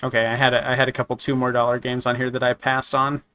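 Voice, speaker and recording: A man with a slightly raspy voice from a sore throat, chatting casually while reviewing games, rambling with many restarts and fillers. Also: medium pitch, completely flat delivery, quick pace, pushed and bright. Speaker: gaming streamer. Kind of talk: casual game review chat.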